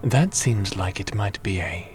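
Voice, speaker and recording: A man playing a calm, gently teasing mage in an ASMR roleplay drama, with a measured, soft-spoken, dry delivery that slips into whispery tones. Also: fairly low pitch, music in the background, natural voice. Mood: dry, reproving.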